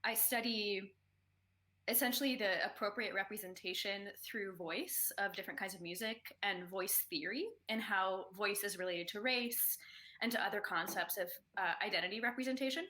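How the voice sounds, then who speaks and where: A woman's voice: medium pitch, unhurried, pushed and bright, a little hiss or hum. A young adult woman, a soprano and graduate student, talking about her singing and research in an earnest, thoughtful way with light hesitations. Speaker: soprano, choral singer. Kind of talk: conversational interview.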